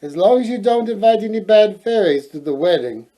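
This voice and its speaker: A man voicing a haughty, fussy storybook queen and mother with emphatic, drawn-out delivery. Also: sits high, animated, mellow, voice pushed up, poor audio. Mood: fussy, approving.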